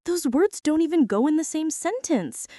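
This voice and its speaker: A shy, awkward schoolgirl played by a woman speaks in a high, soft, mellow voice for an ASMR roleplay. She is nervous and full of self-doubt, halting and rambling as she goes. The sound is close and very dry. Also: animated, dry recording. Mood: anxious, panicky. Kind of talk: girlfriend ASMR roleplay acting.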